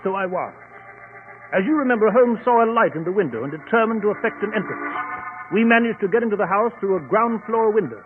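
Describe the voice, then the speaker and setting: A high-pitched English gentleman, blustery, bemused and given to spluttering exclamations. He sounds like vintage 1940s radio drama, on a muffled old broadcast recording with music. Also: lively intonation, quick pace. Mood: genial, storytelling.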